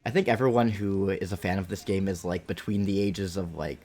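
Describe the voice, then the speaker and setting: Low, husky young man streaming casually. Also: brisk, a little mellow. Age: late 20s. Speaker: game streamer. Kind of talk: casual live gaming commentary and chat.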